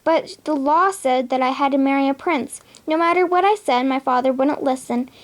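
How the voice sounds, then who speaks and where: Storybook princess, young, spirited and romantic, high earnest voice played by a young female reader. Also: normal speed, natural voice.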